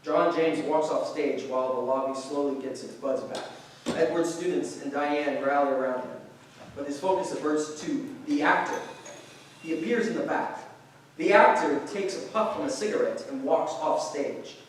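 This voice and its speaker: A man's voice: fairly high pitch, normal speed. A man reading stage directions as scripted narration at a staged play reading. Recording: very echoey room, distant mic, faint noise.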